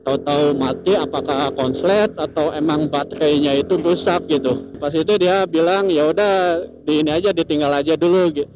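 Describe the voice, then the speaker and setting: Muffled audio with clear vehicle noise carries a man's fairly high voice. He speaks with a lilt, chatting casually like a motovlogger telling viewers a story on the ride. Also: normal speed. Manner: chatty, repetitive tag phrases, chuckles often. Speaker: motovlogger host. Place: outdoors, riding a motorcycle in traffic.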